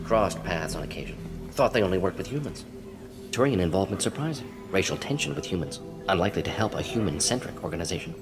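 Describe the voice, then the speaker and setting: A man voicing a brisk, clever, pragmatic alien scientist in a video game, talking in clipped sentences that drop the small words. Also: deep voice, expressive. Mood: brisk, intrigued. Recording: long reverb, music behind.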